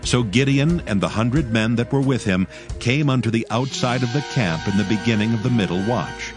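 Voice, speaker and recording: A man narrating dramatized scripture in a formal, measured storytelling manner. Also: medium pitch, over music.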